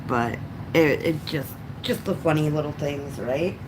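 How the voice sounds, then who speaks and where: Low-voiced, unhurried woman chatting casually. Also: background noise. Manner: halting, reminiscing, frequent throat clearing. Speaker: livestreamer. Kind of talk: casual rambling chat while coloring.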